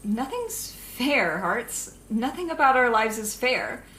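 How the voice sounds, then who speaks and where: A woman's voice: fairly high pitch, very animated, takes it slowly, sharp tone, heavily acted. A young woman playing a pragmatic villain's best friend in an audio drama, matter-of-fact and insistent. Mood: persuasive, insistent. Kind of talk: naturalistic audio drama acting. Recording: echoey room with faint background noise.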